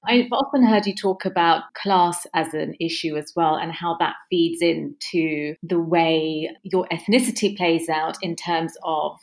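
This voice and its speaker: A woman with a low-pitched voice conducts an interview. She puts thoughtful, considered questions as a fellow writer. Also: measured pace, hardly any pauses, rough recording. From South London, England.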